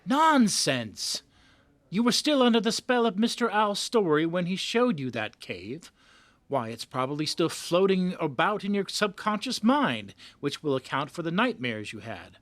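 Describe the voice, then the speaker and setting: Brisk, patronizing magazine boss acted by a man. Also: high voice, fairly bright tone, dry recording, heavily acted. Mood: dismissive, goading. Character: persuasive, dismissive male magazine boss sending a reporter out. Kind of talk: audio drama acting.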